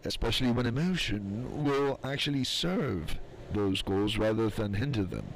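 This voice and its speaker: A man acting as a thoughtful, philosophical scientist in an audio drama, speaking in a measured, explanatory, reflective way. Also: lowish voice, animated, slight background noise.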